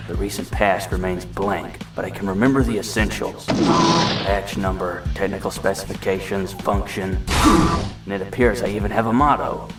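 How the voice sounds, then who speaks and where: A man's voice: fairly low pitch, distant mic, close to an everyday voice. A man playing a dry, wry, self-possessed robot adventurer with no memory in an audio drama, clipped in delivery.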